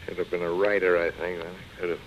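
An actor in a 1930s radio drama playing a proud, broken, drinking man, halting and muttering to himself. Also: low-pitched, little pitch movement, close to an everyday voice. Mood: bleak, drunken resignation. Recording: dull, hissy 1930s radio recording.

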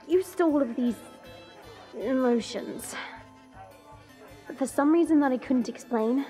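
A woman playing a haughty, irritable dragon princess who narrates her own story in a measured, scornful way. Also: a little high, warm tone. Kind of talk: first-person story narration. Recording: music bed under the voice.

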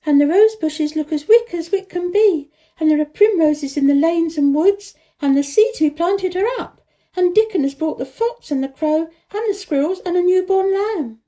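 A woman voicing an excited young girl brimming with joy, gushing and exclaiming in a children's storybook reading. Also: very high voice, normal speed, pitched above the performer's own voice. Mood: excited, joyful.

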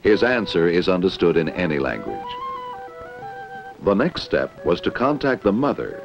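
A dull, dated film soundtrack with music carries a low-voiced American man. He narrates a 1960s corporate training film like a polished announcer. His measured script reading moves through lively rises and falls. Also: gravelly.

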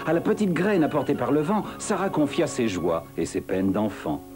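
A grandiloquent cartoon storyteller with a mid-pitched, very varied voice. He recites lyrically over clear background music. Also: wildly swooping pitch, moderate pace, a little mellow. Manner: poetic, grandiloquent. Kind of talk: dramatic storybook narration in cartoon dub.

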